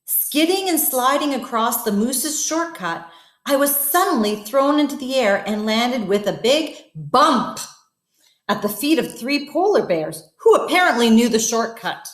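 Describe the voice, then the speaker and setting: Lady reading a kids bedtime story, slow n expressive, kinda high voice, echoey room. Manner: addresses children, expressive, asks wondering questions. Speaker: guest bedtime story reader. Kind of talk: read-aloud storytelling for children.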